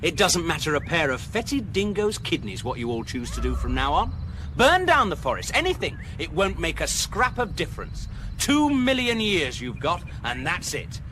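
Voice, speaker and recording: Scornful, high-pitched space traveller. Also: male voice, sing-song, normal speed, sharp tone, a little hiss or hum. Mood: scornful haranguing. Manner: emphatic, sarcastic, haranguing. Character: scornful, impatient galactic traveller berating colonists. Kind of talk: sci-fi comedy radio drama acting.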